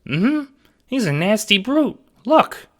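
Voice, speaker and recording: Swooping, creaky little ghost cat. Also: male voice, high voice, big pitch swings, takes it slowly, a bit bright, heavily acted. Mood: earnest. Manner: earnest, chirpy, polite. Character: sweet eager pink ghost cat sprite, childlike helper. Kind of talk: audio drama acting.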